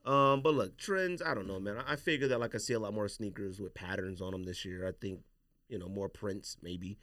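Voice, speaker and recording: A man's voice: fairly low pitch, brisk, a bit bright, no room sound, studio quality. A man hosting a casual podcast chat, speaking in a slangy, opinionated way. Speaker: podcast host.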